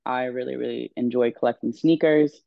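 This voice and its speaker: A woman's voice: a bass voice, steady tone, fairly quick, creaky, dry recording, dull audio. A woman in her twenties from Sweden, a coach speaking as a podcast guest in a candid, chatty way with many ums. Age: 20s. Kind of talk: casual interview chat.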